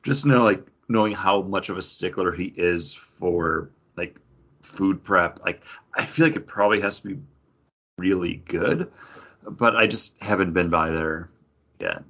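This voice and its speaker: A man chatting casually as a podcast co-host, talking in halting bursts full of filler words. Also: deep voice, fairly bright tone, slightly rough, dull audio. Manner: halting, peppered with "like". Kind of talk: casual chat.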